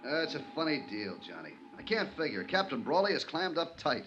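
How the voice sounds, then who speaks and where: A man's voice: a little high, sing-song, a little fast, a bit bright, soft background music. A man playing a puzzled, folksy American police inspector in a 1950s radio drama. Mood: baffled. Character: gruff, puzzled male harbor police inspector. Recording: dull vintage radio recording.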